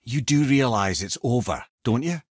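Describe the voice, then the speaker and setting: A man's voice: medium pitch, lively intonation, moderate pace, husky, dry recording, clean studio sound. An actor with a Scottish accent playing a clever, chatty old male advisor in a video game, speaking with wry eloquence like a storyteller. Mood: earnest. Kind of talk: video game character voice lines.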